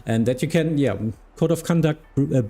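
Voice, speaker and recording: A man from Germany with a German accent, speaking English as a second language, chatting casually with viewers on a live stream, often pausing to search for words and restarting sentences. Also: on the high side, warm tone. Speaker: flight sim streamer and air traffic control mentor.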